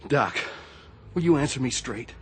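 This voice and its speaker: A man playing an anxious, paranoid Navy chief in a radio drama, hushed and pleading. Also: medium pitch, animated, moderate pace, background noise, close to an everyday voice. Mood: nervous, paranoid.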